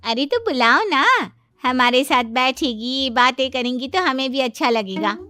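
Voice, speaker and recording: A woman playing a chatty, hospitable middle-aged Indian aunt in an animated family story, speaking emphatically. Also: high-pitched, measured pace, no room sound, clean studio sound, heavily acted. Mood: friendly.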